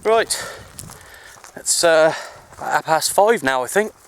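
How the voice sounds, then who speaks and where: A man's voice: on the high side, lively intonation, takes it slowly, a little hiss or hum. A man chatting casually and in a rambling, easygoing way for an outdoor hobby vlog, recorded on a handheld camera in the countryside. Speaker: amateur CB radio hobbyist vlogger.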